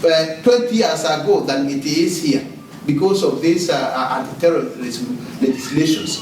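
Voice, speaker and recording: A man's voice: on the high side, moderate pace, a little mellow, a little hiss or hum. A man from Kenya asking a question from the floor at a panel, hesitant, with many fillers and restarts. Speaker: audience member. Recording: echoey hall, far from the mic.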